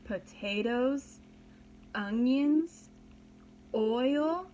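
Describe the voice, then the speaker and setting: A woman's voice: sits high, very slow, warm tone, dull audio. A woman reading a children's story aloud as a preschool teacher, dramatically and expressively, with simple explanations for young listeners.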